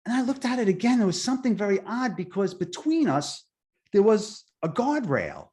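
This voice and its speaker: A middle-aged man from Long Island with a Long Island accent, a guest speaker telling his own story haltingly, with many restarts and fillers. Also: high voice, sing-song, darkish tone. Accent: Long Island New York. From Long Island, New York. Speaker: guest speaker, near-death experiencer. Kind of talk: personal storytelling.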